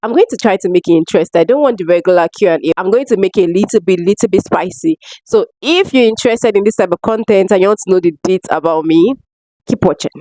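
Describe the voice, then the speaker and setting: A woman's voice: mid-range voice, quick pace, mellow, no echo. A young woman with a Nigerian accent chatting casually to camera as a vlogger, informal and given to emphatic repetition. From Lagos, Nigeria. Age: young adult. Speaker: vlogger answering viewer questions.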